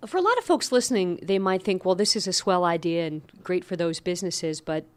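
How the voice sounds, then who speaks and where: A woman interviewing on radio, asking measured, conversational questions on behalf of listeners. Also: fairly low pitch, animated.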